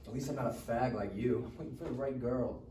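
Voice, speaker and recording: A man's voice: mid-range voice, quick pace, mellow, natural voice. A man playing a spoiled, sardonic, reluctant teenage boy with crude slangy talk in a live comedy table read. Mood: snarky. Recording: roomy, distant mic, faint noise.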